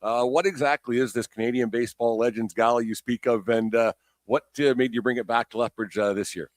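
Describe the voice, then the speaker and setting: A man's voice: medium pitch, steady tone, fairly quick, no room sound. A man presenting as the host of a sports show.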